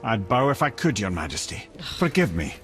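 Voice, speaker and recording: An actor playing a wry, courteous severed head of a sage in a video game. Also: male voice, fairly low pitch, big pitch swings, normal speed, a bit bright, faint music behind. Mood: apologetic, wry.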